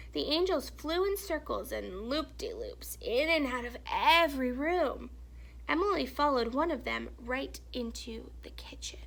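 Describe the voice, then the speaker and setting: A woman reading a children's story aloud in an expressive, animated storytelling manner with hushed, dramatic phrasing. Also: high-pitched, creaky. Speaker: teacher or reader reading a children's picture book aloud.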